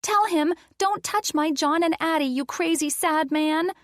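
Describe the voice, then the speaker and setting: A woman voicing an excited little boy who shrieks his lines. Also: unhurried, no room sound, clean studio sound. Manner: shrill, shrieking.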